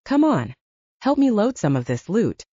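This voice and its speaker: A woman's voice: medium pitch, big pitch swings, moderate pace, dark tone, husky, no room sound. A woman voicing a playful, teasing female character in an audiobook romance. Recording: dull lo-fi audiobook recording.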